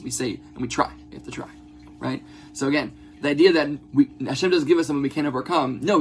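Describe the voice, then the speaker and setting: Male religious teacher, brisk and conversational, earnest, with gravelly fry and slight background noise. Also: medium pitch. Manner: earnest, conversational, repeats phrases and checks in with "right?". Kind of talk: informal religious lesson with text commentary.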